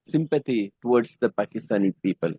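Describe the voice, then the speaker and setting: A man speaking in a relaxed, casual way, pausing often and filling gaps with "you know". His voice is mellow and dark. The recording is dry, with no room sound. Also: lowish voice, breathy edge, dry recording. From India. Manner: relaxed, hesitant, frequent "you know" fillers. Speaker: English conversation partner. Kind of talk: casual conversation practice.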